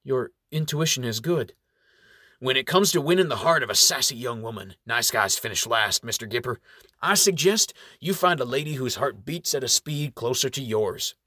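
A man reading a storybook voices a cheerful, wise old male reverend giving gentle advice. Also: medium pitch, expressive, normal speed, no echo, clean studio sound. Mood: advising. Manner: gentle, advising.

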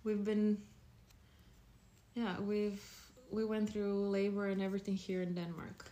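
A woman's voice: mid-range voice, completely flat delivery, a little slow, mellow. A woman in her thirties from Croatia, a podcast guest speaking in a casual, hesitant way with many fillers and restarts. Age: 30s. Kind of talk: casual interview chat.

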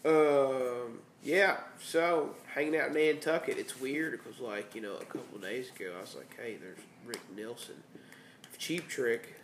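A man's voice: medium pitch, unhurried, a little hiss or hum. A congested man hosting a casual podcast with bandmates in a house, joking and laughing as he rambles. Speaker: podcast host. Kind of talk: casual chat. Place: band house room. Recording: echoey room with faint ambience.

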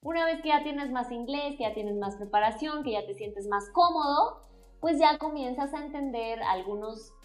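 A woman with a Mexican Spanish accent hosting a casual talk show in a playful, expressive way, calling people "corazón". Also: high-pitched, normal speed, soft background music. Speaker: host.

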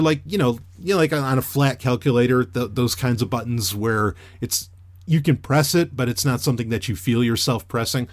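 A man with a loud voice shaped by years of radio work talks through a gear review in a loose, chatty way, full of fillers and restarts. His voice sits at an average pitch for a man. The sound is close and dry, with no room around it. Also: dry recording. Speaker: podcaster reviewing a microphone. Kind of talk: casual rambling product review.

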